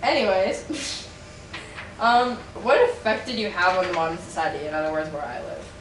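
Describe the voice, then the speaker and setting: A young woman from Canada plays interviewer, asking halting questions and tossing out deadpan teasing jabs. She sits far from the mic in an echoey room, with clear background noise. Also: lowish voice. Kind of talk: amateur mock interview.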